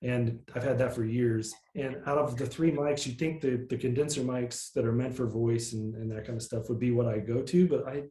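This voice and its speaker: A man with a nasal voice, a musician guest in a casual interview, talking in a relaxed, rambling way with plenty of fillers. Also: mid-range voice, moderate pace, few pauses, echoey room, rough recording.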